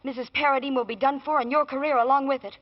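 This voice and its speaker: Female, actress as candid, concerned friend, medium pitch, dull vintage radio thriller recording. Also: fairly even intonation, quick pace, lightly acted. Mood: reproachful. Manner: earnest, candid. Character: frank, worried female friend of the barrister. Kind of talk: old-time radio drama acting.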